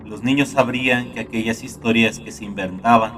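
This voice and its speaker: A man reading a scripted horror story as narrator, in a dark, gloomy, dramatic manner. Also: mid-range voice, fairly even intonation, fairly quick, distant mic. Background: soft guitar music.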